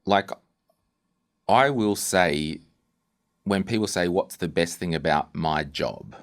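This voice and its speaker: A man with a low, deep voice hosts a podcast conversation. He talks casually and informally, feeling his way toward each question with some self-deprecation. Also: takes it slowly. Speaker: podcast host, interviewer.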